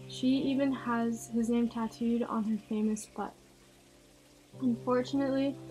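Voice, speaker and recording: Female narrator for scripted biography. Even, little-varying pitch, a bit high, over music. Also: low-quality recording.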